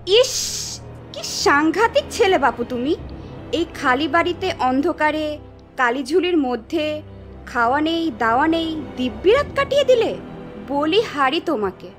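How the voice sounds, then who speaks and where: Woman as exasperated relative, high voice, very animated, scolding, audio story, soft music underneath. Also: measured pace. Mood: shocked, scolding. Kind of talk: Bengali audio story acting.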